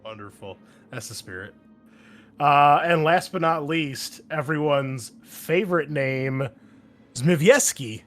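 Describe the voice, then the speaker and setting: A man hosting a tabletop game podcast as game master, upbeat and jumping louder on key words. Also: a little high, lively intonation, slow, soft background music.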